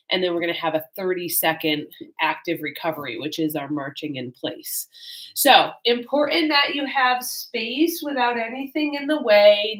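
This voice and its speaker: A woman's voice: medium pitch, a bit bright, low-quality recording. A woman fitness instructor, slightly congested, giving a friendly, rambling introduction to a home exercise class with many fillers.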